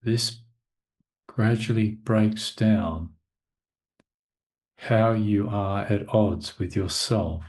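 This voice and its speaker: A man leading a guided meditation as a teacher, speaking in short, measured phrases with long pauses between them. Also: on the low side, steady tone, a little mellow.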